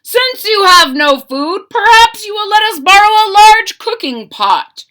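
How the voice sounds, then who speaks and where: A woman's voice: extremely high pitch, very animated, unhurried, bright, forceful tone, pitched above the performer's own voice, heavily acted. A woman reading as a tired, hopeful soldier calling out. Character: tired hungry soldier marching home, hopeful.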